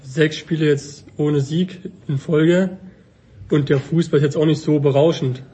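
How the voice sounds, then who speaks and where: Husky, mellow man giving football opinions. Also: a little high, fairly even intonation, a little slow. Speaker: panel guest. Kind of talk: casual football debate.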